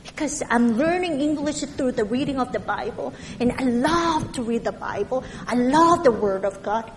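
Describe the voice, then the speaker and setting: A woman from Korea with a heavy Korean accent, preaching a sermon in a halting way, with many fillers and restarts. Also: sits high, expressive, big-room sound, slight background noise.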